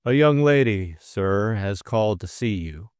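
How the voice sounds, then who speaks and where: A man voicing a stiff, deferential valet in an audiobook, speaking in a measured, unflappable, scrupulously polite way. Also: fairly low pitch, animated, a little mellow, clear, resonant tone, dry recording, clean studio sound. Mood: implacable, formal. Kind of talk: audiobook character acting.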